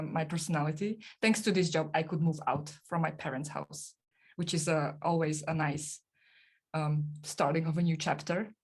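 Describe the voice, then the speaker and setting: A young woman, a podcast guest, answering interview questions casually with many fillers and restarts. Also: low voice. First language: not German.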